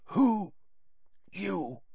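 A deranged older official, as a male reader puts him on, rambling and forcing out broken, gasping words. His voice is pushed high and strained, with a husky edge. The recording is dry and slightly dull. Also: animated, normal speed, dry recording. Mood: whispering. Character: raving, confused older male official, desperate.

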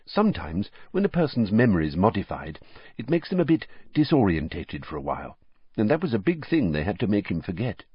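A man reading an audiobook, voicing a kind, tired middle-aged father who speaks quietly and heavily. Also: on the low side, big pitch swings, brisk, slightly rough, dry recording. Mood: soothing. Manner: quiet, soothing, heavy. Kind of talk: audiobook character voice. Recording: dull dry lo-fi recording.

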